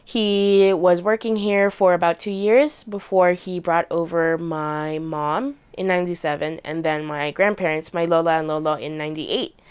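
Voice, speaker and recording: A man's voice: on the high side, steady tone, normal speed, hardly any pauses, smooth. A young man from Canada, a guest on a talk show, chatting casually about his family with many fillers. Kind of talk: casual conversation.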